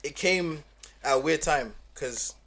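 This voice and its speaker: Husky young man speaking quietly in short, plain phrases into a desk mic in a small podcast studio. He is a guest producer sharing his start in a laid-back chat. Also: a little high, slow pace. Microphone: desk mic with headphones.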